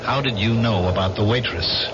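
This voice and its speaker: An American actor playing an insistent veteran confronting old comrades in an old-time radio drama. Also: male voice, on the low side, normal speed, natural voice. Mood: firm. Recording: dull, noisy old radio recording.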